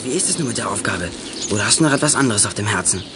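Man playing a quick-talking, cunning boy detective. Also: fairly low pitch, expressive, lightly acted. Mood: sly. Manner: cheeky, self-assured, brisk. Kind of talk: 1980s German youth radio play acting. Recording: noisy old radio play recording.

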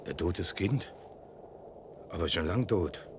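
A man's voice: deep voice, animated, slow. An actor playing a grim, disgusted rural woodsman in a radio play. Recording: noisy outdoor scene.

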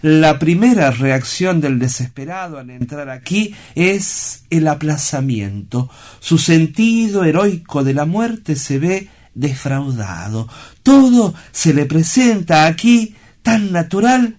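Man acting sanatorium director, radio play, slightly high male voice, solemn, eloquent, declamatory, earnest. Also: animated, slow pace, a little mellow. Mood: earnest, explanatory.

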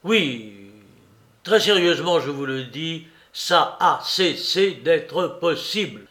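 A man's voice: on the high side, animated, measured pace, a bit bright. A man voicing an exasperated, sarcastic young clerk in his twenties in a storybook reading. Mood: furious. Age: 20s.

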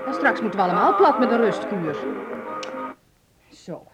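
An actress playing a doting but sharp-tongued middle-aged mother who fusses, in a Dutch radio drama. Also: a little high, big pitch swings, normal speed, loud music behind the voice, rough recording. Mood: exasperated.

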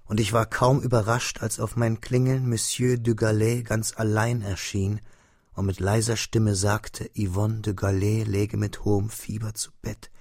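Radio drama narrator: wistful young man, lower-pitched, gravelly, measured, sinking to near whispers. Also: darkish tone, dry recording, studio quality. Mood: sober. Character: young man recalling a lost friend, wistful, restrained.

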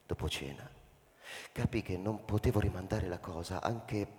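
An actor playing a thoughtful, uneasy school principal, narrating in a measured radio-drama manner with pauses. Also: male voice, sits low, darkish tone, lightly acted. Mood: brooding, uneasy. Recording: reverberant hall, music bed underneath. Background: music.

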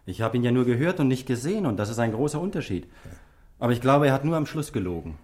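A man's voice: medium pitch, sing-song, fast talker, darkish tone, close to an everyday voice. A man playing an eager, deferential junior police detective in a 1960s German radio drama, talking informally. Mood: eager, easygoing. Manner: informal, respectful to his boss.

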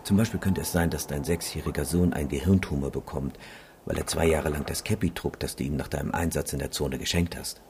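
An actor playing a cynical, bleak photographer and disaster witness in a radio drama, sardonic and matter-of-fact. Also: male voice, very deep voice, fairly even intonation, brisk, a little mellow, a little hiss or hum. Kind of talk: radio drama monologue and dialogue.

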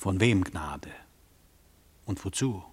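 A man's voice: sits low, lively intonation, slow, husky, exaggerated voice. An actor playing a weary, appalled male interrogator in a German radio play, putting terse, sceptical questions. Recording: long reverberant hall sound.

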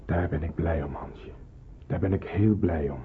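An actor playing a grave, careful man who secretly arranges wartime hiding in a Dutch radio play, giving deliberate instructions. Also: sits low, slight background noise. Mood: grave, relieved. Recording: muffled, phone-like old radio recording.

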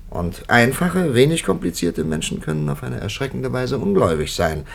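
An actor playing a thoughtful, hesitant male prelate in a 1950s radio drama, speaking with halting pauses. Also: mid-range voice, big pitch swings, gravelly, heavily acted. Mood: reflective.

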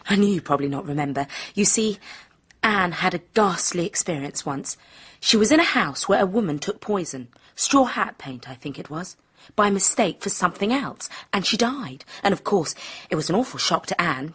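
A woman's voice: lowish voice, animated, normal speed, a bit bright, slightly rough, exaggerated voice. An actress in a radio drama playing an earnest, confiding, apologetic young British woman.

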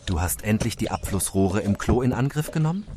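A man's voice: fairly low pitch, animated, brisk, mellow, slight background noise, natural voice. A man playing a placid, philosophizing commune member in an audio drama. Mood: placid, agreeable. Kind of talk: German audio drama dialogue.

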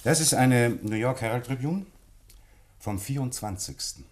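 An actor playing a sceptical, matter-of-fact police commissioner in a German radio detective play. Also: male voice, mid-range voice, animated, a little slow, noisy background, close to an everyday voice. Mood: confiding, businesslike. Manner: matter-of-fact, dismissive of theories. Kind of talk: German radio drama acting.

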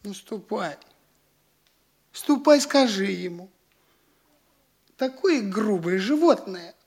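An actor playing a vain young gentleman, bossy yet feckless, haughty and whiny in a classic audio stage comedy. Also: high-pitched, animated, slow, slightly rough, heavily acted. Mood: indignant.

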